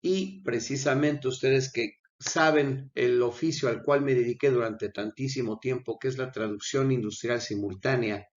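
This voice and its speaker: A man's voice: mid-range voice, steady tone, moderate pace, few pauses, highs cut off. A man, feeling unwell with coughing fits, hosting a channel and talking viewers through a video in an earnest, pleading way. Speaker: channel host. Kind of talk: conversational commentary over a video.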